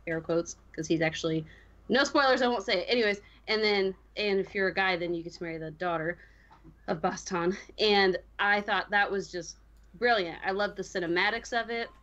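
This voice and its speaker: A woman's voice: medium pitch, moderate pace, fairly bright tone, dull audio. A woman co-hosting a gaming podcast, chatting casually and with strong opinions, often voicing her own reactions as quotes. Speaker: podcast co-host discussing a video game. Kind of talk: casual chat.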